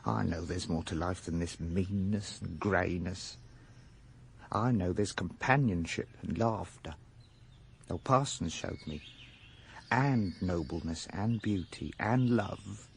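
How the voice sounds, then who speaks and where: An actor playing an irritable, discontented English shopkeeper of nearly forty in a radio drama, blustering and aggrieved. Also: male voice, low voice, slow pace, gravelly, slight background noise, exaggerated voice. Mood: gloomy, wistful. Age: 30s.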